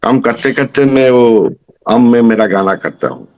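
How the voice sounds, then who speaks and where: A man's voice: mid-range voice, expressive, normal speed, a little mellow. A man of about sixty from Kolkata, giving a casual testimonial as a student. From Kolkata, India. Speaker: singing student and businessman.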